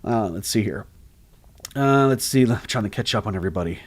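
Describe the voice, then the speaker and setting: A man's voice: on the low side, fairly quick. Chatty man rambling on a livestream. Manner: rambling, animated, many restarts and fillers. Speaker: horror story narrator and streamer. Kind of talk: casual livestream chat reading comments.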